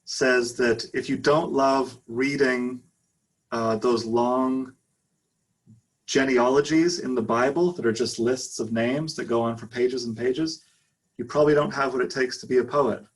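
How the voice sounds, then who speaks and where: A man poet answering audience questions at a reading, hesitant and filling pauses with ums. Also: medium pitch, steady tone, breathy edge, poor audio.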